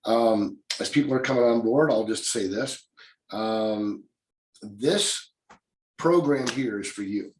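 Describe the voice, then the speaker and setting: Guy teaching a class online, kinda raspy, low voice, lots of "okay?", roomy echo. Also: slow pace. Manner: folksy, encouraging, repeats "okay?". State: slightly raspy voice. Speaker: real estate investing teacher. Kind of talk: live online class, casual lecture. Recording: echoey room sound.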